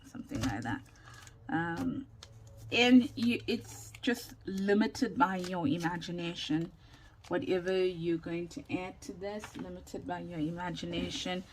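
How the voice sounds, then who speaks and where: A woman still recovering from a lost voice talks her viewers through a stamping project at an unhurried, slow pace. Her speech is loose and chatty, full of ums and trailing thoughts, and she sometimes slips into a near whisper. A faint hiss sits under the recording. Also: fairly low pitch. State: recovering from lost voice, sore throat. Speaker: craft vlogger. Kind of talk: casual crafting tutorial chat.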